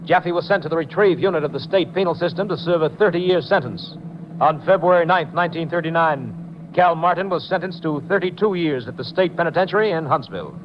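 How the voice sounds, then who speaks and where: A man's voice: a little high, fairly quick. A man reading formal, scripted narration as a 1950s radio announcer. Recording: dull, faintly noisy 1950s radio recording.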